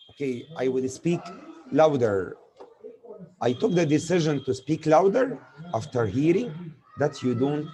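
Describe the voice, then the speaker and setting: Mellow-voiced teacher. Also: male voice, medium pitch, poor audio. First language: Arabic. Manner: explains slowly with repeated examples, mixing in Arabic words. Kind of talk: grammar lesson.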